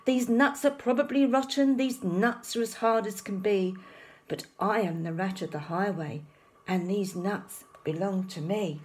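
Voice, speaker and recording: Storybook villain voiced by a woman: thieving male rat highwayman, bullying, swaggering, deliberate pace. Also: natural voice. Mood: bullying, demanding. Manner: thundering, demanding, boastful.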